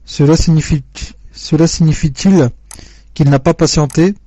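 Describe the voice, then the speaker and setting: Mellow man reading questions aloud. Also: fairly high pitch, steady tone, no echo. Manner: measured, formal reading. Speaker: question reader. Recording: dull dry lo-fi recording.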